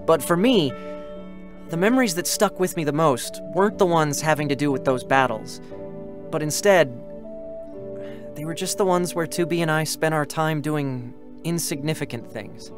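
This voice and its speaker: Man voicing a boyish, higher-pitched young android soldier. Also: normal speed, over music, close to an everyday voice. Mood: nostalgic, wistful. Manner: chatty, sentimental, with boyish hesitations. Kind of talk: dramatic scripted reading with first-person narration.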